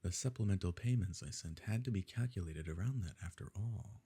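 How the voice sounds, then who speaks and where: A man playing a smug, wealthy evil nobleman in an intimate ASMR roleplay, suave and condescending, with a gravelly, husky voice. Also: sits low, fairly quick, mellow. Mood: sly, self-satisfied. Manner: suave, condescending, leisurely formal phrasing.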